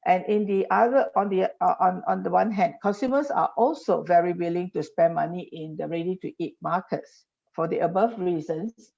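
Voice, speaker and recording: A woman's voice: medium pitch, gravelly, warm tone. A woman, explaining as a podcast guest and piling up examples with frequent restarts. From Singapore. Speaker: industry guest. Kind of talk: podcast interview. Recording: dull lo-fi podcast audio.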